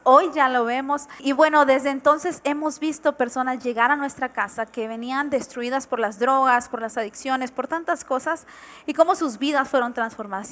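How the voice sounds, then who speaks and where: A young woman with a bright, high-pitched voice co-hosts a church television program. She welcomes viewers and tells a family story in an earnest, storytelling manner. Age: 20s. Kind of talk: warm testimonial talk on TV.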